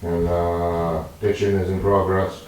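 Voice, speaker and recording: Low-voiced plainspoken man, echoey room. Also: level tone, a little mellow, a little hiss or hum. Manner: plainspoken, halting. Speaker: town road worker. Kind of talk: casual meeting talk. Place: town meeting hall. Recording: echoey room, far from the mic.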